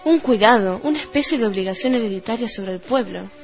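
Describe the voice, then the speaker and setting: A woman's voice: on the high side, lively intonation, fast. A woman playing a knowing, scornful townswoman with an Argentine accent, gossiping conversationally in a radio play. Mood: scornful, gossipy. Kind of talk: radio play literary narration. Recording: dull radio recording with music behind. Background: music.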